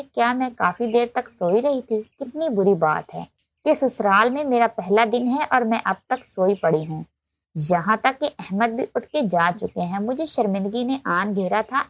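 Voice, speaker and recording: A woman's voice: fairly high pitch, no room sound. A woman narrating a scripted, emotional first-person story as a storyteller.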